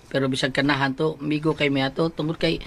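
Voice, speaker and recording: A bright, whiny, aggrieved Cebuano husband. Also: male voice, on the high side, little pitch movement, fairly quick, gravelly. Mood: sulky. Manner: whiny, exclamatory complaining. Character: excitable aggrieved husband, luckless lottery bettor. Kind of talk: comic radio drama acting.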